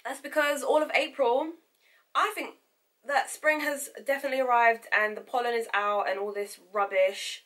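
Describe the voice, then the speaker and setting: Young woman, sniffly nose, chatty vlog, bit high and bright. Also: fairly even intonation, normal speed. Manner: chatty, candid, direct to camera. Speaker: vlogger. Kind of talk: casual vlog update.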